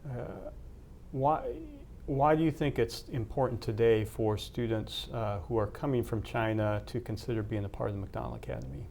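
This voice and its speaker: A man's voice: lowish voice, fairly even intonation, normal speed, darkish tone, a little hiss or hum. A man conducting a formal interview, asking polite questions with frequent hesitations. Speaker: interviewer, university leader.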